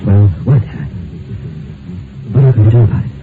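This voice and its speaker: A man's voice: lowish voice, brisk, background noise, natural voice. An actor playing an anxious man on the run in an old-time radio mystery. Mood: anxious, pleading. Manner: nervous, stammering in dialogue. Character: anxious male fugitive posing as a dead man. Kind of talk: old-time radio drama, first-person mystery narration and dialogue. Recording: tinny vintage radio recording, music behind.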